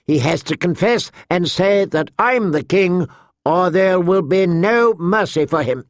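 A man's voice: high-pitched, expressive, a little slow, dry recording, studio quality, voice pushed up. A man playing a petulant, imperious boy king in an audiobook, haughty and demanding.

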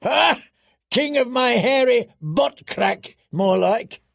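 A male narrator performs a red-faced wildling chieftain from a fantasy audiobook. He is loud, coarse and full of bluster. His voice sits high and has a gravelly edge. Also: animated, a little slow, full character voice. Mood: mocking roar. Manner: blustering, bawdy, roaring. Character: boisterous, crude, red-faced wildling warrior chieftain, laughing and roaring.

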